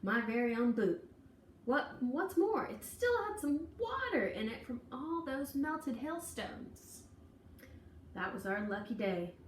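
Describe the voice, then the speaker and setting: A woman reading a children's picture book aloud in an expressive, folksy, dramatic storytelling manner. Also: fairly high pitch, takes it slowly. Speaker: storybook reader. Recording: echoey room, distant mic, faint noise.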